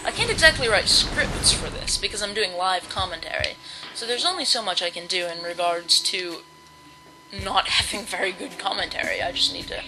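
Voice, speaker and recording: A sixteen-year-old boy with a high-pitched voice, giving live gameplay commentary in a hesitant, rambling way with many ums and restarts. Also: lively intonation, normal speed, poor audio. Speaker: let's play commentator. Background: video game music.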